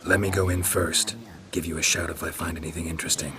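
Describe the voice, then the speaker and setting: A man playing a stoic, world-weary monster hunter in a video game, terse and deadpan. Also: sits low, quick pace, background noise, close to an everyday voice. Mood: matter-of-fact. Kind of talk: understated video game acting.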